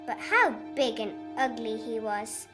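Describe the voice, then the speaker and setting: Woman acting out a lively, high storybook voice. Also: measured pace. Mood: expressive. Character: storybook narrator and old mother duck, critical. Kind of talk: storybook reading. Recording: music behind the voice.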